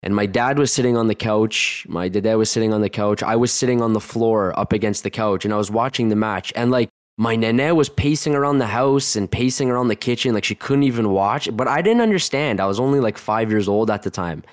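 Casting note: young male guest, low voice, dry close mic, loose rambling storyteller. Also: fairly quick, hardly any pauses, no room sound. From Canada. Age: 20s. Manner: rambling, heavy use of "like", frequent restarts. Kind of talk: casual storytelling interview.

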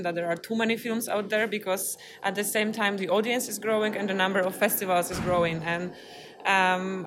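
A woman with a Polish accent speaks in a medium-pitched voice, giving thoughtful, slightly hesitant interview answers. There is audible ambient noise around her. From Poland. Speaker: interview guest, film festival programmer. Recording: clearly noisy background ambience.

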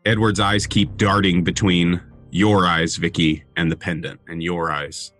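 A man with a deep, low voice describes his character's actions as a player in a tabletop game. Faint music sits behind him. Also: level tone, measured pace, a bit bright. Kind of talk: improvised roleplay and narrating his character's actions.

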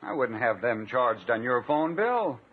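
Husky flattering moocher, old radio. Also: male voice, medium pitch, measured pace. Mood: ingratiating. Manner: ingratiating, self-important. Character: smooth-talking freeloading brother, self-important, flattering moocher. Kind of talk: 1950s radio comedy acting. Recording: thin, narrow 1950s radio recording.

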